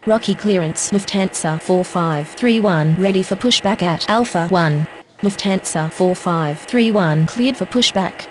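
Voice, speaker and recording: A woman's voice: fairly low pitch, measured pace, a little hiss or hum, natural voice, poor audio. A woman playing a businesslike airline radio voice, giving formal, clipped scripted phraseology. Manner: formal, procedural. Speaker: pilot and air traffic controller voices.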